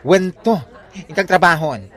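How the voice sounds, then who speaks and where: Joyce, swooping camp Ilocano beautician. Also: male voice, high voice, lively intonation, a little slow, a bit bright. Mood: flighty. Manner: camp, exaggerated, coy. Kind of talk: radio comedy drama acting.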